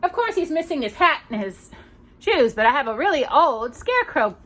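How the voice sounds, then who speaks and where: Expressive, high-voiced woman storyteller. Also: moderate pace. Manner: expressive, drawn out, playful. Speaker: host of a children's storytime. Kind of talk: theatrical children's storytelling with casual asides.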